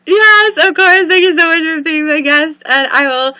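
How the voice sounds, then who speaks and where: Giggly woman on a livestream, high bright voice, keeps laughing and saying sorry, dry sound. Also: moderate pace, no room sound. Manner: giggly, apologetic, nervous laughter. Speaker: livestream host. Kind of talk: casual livestream chat.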